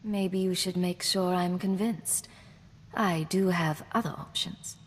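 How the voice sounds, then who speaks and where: A woman's voice: fairly low pitch, steady tone, takes it slowly, husky. A woman playing a cool, wry Imperial agent, guarded and probing in scripted game dialogue. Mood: wry, teasing. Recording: noisy game capture with long reverb.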